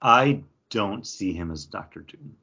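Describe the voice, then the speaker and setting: A man co-hosting a podcast, chatting casually and cracking jokes with quick interjections. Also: fairly low pitch, lively intonation, sharp tone, husky, dull audio. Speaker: podcast co-host. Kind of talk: casual chat.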